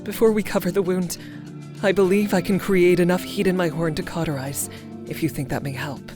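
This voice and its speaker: A woman voicing a composed, regal female cartoon unicorn pony with a formal, calm manner. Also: lowish voice, fairly quick, lightly acted. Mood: gentle, grave. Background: music.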